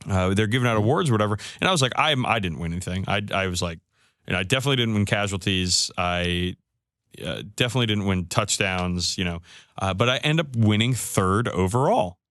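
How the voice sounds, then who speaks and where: A man with a lowish voice tells long, rambling stories about his tabletop matches, tripping over restarts as he goes. The sound is close and dry, with no room around it. It is relaxed podcast chat between friends. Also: a little fast, no room sound. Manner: rambling storytelling with restarts and fillers. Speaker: podcast co-host. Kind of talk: casual chat.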